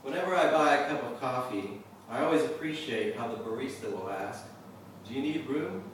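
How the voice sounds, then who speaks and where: A man giving a live poetry reading in a measured, deliberate way, pausing at the ends of lines. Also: medium pitch, lively intonation. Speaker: poet. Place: indoor reading venue with a live audience. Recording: very echoey room, distant mic, faint noise.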